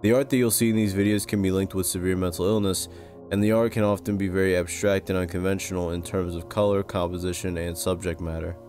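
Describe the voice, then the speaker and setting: A man with a low-pitched voice narrates a scripted video essay. His delivery is measured and serious. Music plays faintly behind him. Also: steady tone, a little mellow. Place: close-recorded voice-over with music behind.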